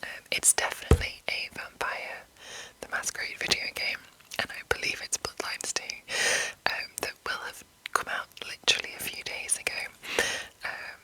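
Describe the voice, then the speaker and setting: A woman hosting ASMR, speaking entirely in a soft, breathy whisper as she gives an informal, rambling, hesitant explanation. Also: normal speed, rough recording. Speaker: ASMR host.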